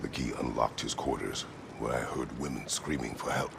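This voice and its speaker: A man playing a grim, terse middle-aged warrior in a video game, solemn and gravelly. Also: fairly even intonation, moderate pace, noisy background, full character voice. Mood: grim, haunted. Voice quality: very deep, gravelly. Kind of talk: dramatic video game acting. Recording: loud music under the voice.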